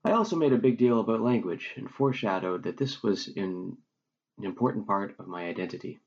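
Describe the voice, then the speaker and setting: A man, narrating a scripted educational recording in a measured, reflective way. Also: on the low side, a little mellow. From English-speaking Canada. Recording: dull lo-fi recording.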